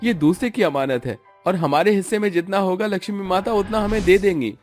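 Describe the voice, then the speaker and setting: Man acting earnest high-pitched devout husband. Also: a little fast, faint music behind, lightly acted. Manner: earnest, pleading. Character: honest, devout, humble poor husband.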